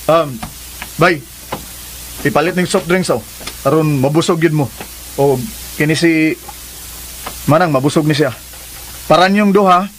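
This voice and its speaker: An actor playing a persistent, generous young suitor with a Cebuano accent in a radio drama, talking in a casual, coaxing way. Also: male voice, on the high side, unhurried, long pauses, a bit bright. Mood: friendly. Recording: hissy recording, faint crackle.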